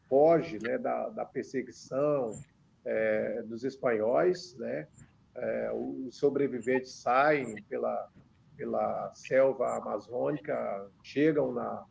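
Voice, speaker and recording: A Brazilian man in his fifties, a guest on a radio interview, explaining at a measured pace with many filler pauses. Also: mid-range voice, vocal fry, a little mellow, a little hiss or hum. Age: 50s. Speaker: author and religious researcher guest. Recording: dull lo-fi radio sound.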